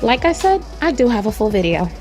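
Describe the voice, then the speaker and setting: A young woman with a raspy voice, chatting casually and slangily to her viewers as she walks them through a hair tutorial. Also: a little high, big pitch swings, a little fast, a little mellow, faint music behind.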